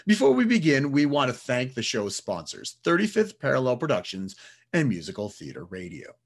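A man's voice: medium pitch, wildly swooping pitch, fairly quick, gravelly, slightly rough. A man hosting an interview podcast, reading a formal scripted welcome and asking guests questions. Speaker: podcast host.

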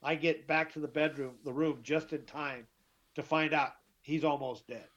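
A middle-aged man with a deeper voice, telling his story as an interview guest, emphatic and often repeating himself. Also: steady tone, normal speed, fairly bright tone. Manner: emphatic, repeats and restarts words. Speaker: guest, author. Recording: dull lo-fi sound.

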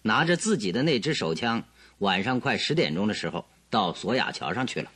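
An assured detective with animated, dry studio delivery. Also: male voice, on the low side, brisk, slightly rough, dry recording, exaggerated voice. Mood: assured, explaining. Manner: expository, assured, theatrical. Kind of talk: Chinese radio drama acting.

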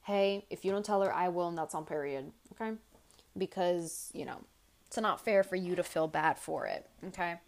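A young woman hosting a casual advice podcast, blunt and chatty, with a tired, raspy voice as she reads listener stories aloud. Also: on the low side, normal speed. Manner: blunt, chatty, swears freely. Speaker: podcast host.

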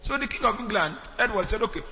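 A man teaching church history in an animated lecture, calling for responses from the class. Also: high-pitched, moderate pace. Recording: echoey hall, dull sound, faint music.

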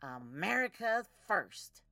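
A woman's voice: medium pitch, big pitch swings, takes it slowly, vocal fry, pushed and bright, no echo, studio quality, exaggerated voice. An actress playing a commanding adult woman of cold authority in an audio play, speaking in emphatic declarations. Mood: menacing, contemptuous.

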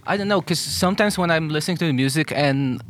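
A man's voice: a little high, quick pace, fairly bright tone, faint music behind. A man as an in-studio radio guest, candid and a little shy. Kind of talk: casual radio chat.

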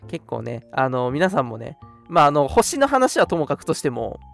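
A young adult man hosting a live web radio show, chatting in an animated, colloquial way. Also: a little high, normal speed, gravelly. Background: background music.

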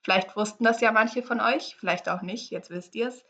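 A woman co-hosting a faith podcast in casual chat, relaxed and chatty as she explains a guessing game; her first language is English. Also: a little high, moderate pace. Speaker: podcast co-host.

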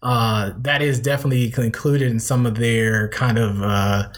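Man from South Carolina, husky voice, relaxed and enthusiastic storyteller. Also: medium pitch, normal speed. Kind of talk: casual chat.

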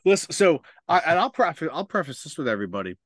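A man's voice: a little high, sing-song, fast, a bit bright. A man co-hosting a podcast in casual, opinionated chat, speaking informally and with emphasis. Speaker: podcast co-host.

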